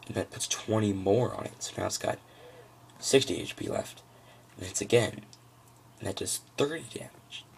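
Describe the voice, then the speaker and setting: Very low, gravelly man talking through cards. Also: a little hiss or hum. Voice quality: froggy, gravelly. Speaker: trading card hobbyist presenting a deck. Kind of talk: casual unscripted card-by-card walkthrough.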